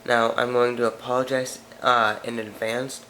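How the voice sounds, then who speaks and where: A man's voice: medium pitch, little pitch movement, moderate pace, sharp tone, a little hiss or hum, rough recording. A man with a stuffy nose hosting a casual tech video, talking through phone specs off camera and correcting himself as he goes. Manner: chatty, reads specs, frequent self-corrections. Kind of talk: casual product walkthrough.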